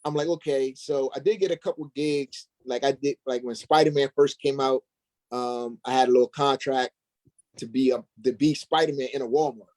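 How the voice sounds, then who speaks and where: A man's voice: medium pitch, steady tone, normal speed, a little mellow, rough recording. A middle-aged man being interviewed as an actor, telling personal stories in an informal, slangy way. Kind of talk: casual personal storytelling.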